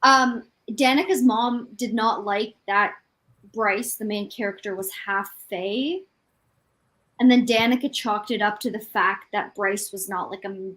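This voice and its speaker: A woman's voice: a little high, fairly bright tone, low-quality recording. A woman chatting casually about books as a livestream co-host, exasperated and a little self-deprecating.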